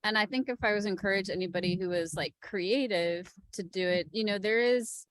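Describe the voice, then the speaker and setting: A woman's voice: medium pitch, fairly quick, dry recording. Informal woman panelist, dry close sound. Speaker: podcast executive producer, panelist. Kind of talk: casual panel talk.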